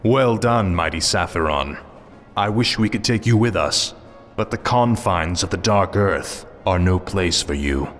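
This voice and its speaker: An actor playing a fallen prince turned undead death knight in a game, cold, haughty and commanding. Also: male voice, low voice, expressive, a little slow, fairly bright tone, soft background music. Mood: approving. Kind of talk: video game cutscene acting.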